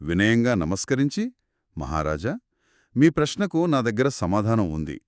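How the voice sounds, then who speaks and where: A man telling a children's story in a conversational, expressive and explanatory way, as one of two narrators. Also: fairly low pitch, moderate pace, dry recording, studio quality. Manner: expressive, explanatory, acts out characters' quoted lines.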